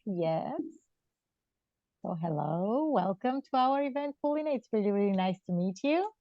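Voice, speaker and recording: A woman's voice: medium pitch, lively intonation, moderate pace, no echo. A woman moderating an online event, speaking politely and welcomingly, heard through dull lo-fi call audio. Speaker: event host. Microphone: video call.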